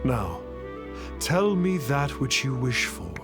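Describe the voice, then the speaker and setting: A man's voice: mid-range voice, big pitch swings, loud music behind the voice. An actor playing a pompous masked man who poses as a lofty immortal and speaks of himself in formal, grandiose terms. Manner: grandiose, refers to himself as "one", slow and formal. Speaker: swindler posing as an immortal.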